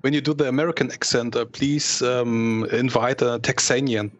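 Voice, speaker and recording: A man with a German accent, a guest in a casual chat, halting and searching for words. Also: medium pitch, steady tone. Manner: halting, many ums and uhs, searching for words. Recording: dull lo-fi dry mic.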